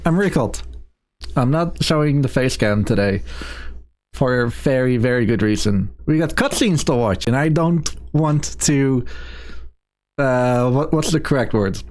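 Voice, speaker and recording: A man with a medium-pitched voice gives live speedrun commentary. He talks casually and informally to viewers. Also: animated, a little slow, darkish tone.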